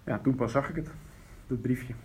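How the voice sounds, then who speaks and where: An actor playing an older widowed father who talks plainly and haltingly about his missing daughter in a fiction podcast. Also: medium pitch, dark tone, slight background noise, close to an everyday voice. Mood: subdued grief. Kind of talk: fiction podcast, naturalistic interview acting.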